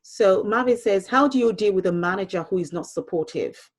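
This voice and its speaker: A woman's voice: medium pitch, lively intonation, fairly quick. A woman hosting an online panel, asking questions conversationally with many fillers and polite interjections. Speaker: host and moderator.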